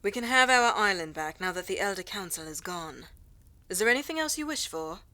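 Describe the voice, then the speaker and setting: A woman's voice: medium pitch, animated, fairly quick, bright, forceful tone. A woman playing a regal, condescending council leader in a fantasy audio drama, speaking in an imperious, formal manner. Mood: businesslike. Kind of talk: dramatic audio roleplay acting.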